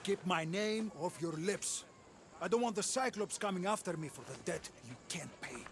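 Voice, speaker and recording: An actor playing a defiant, flustered merchant in a video game. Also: male voice, high voice, normal speed, a little hiss or hum. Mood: resentful. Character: defiant, flustered male merchant pleading over a debt. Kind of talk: dramatic video game acting.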